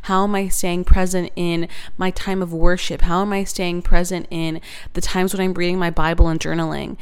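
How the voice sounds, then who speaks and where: A young woman in her twenties talks earnestly about faith and rest, in a casual, rambling way full of fillers and small laughs. Her voice sits a little low and sounds smooth and clear. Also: little pitch movement, normal speed. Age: 20s. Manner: earnest, warm-hearted, laughs often, many fillers. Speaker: podcast host. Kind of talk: reflective faith podcast monologue.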